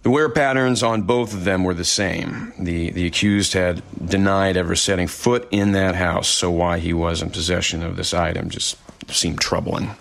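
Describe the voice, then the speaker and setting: An actor playing a measured police investigator who recounts a case matter-of-factly in a documentary-style audio drama, with a gravelly voice. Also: male voice, low voice, fairly even intonation, fairly bright tone, no echo. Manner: measured, deliberate, matter-of-fact.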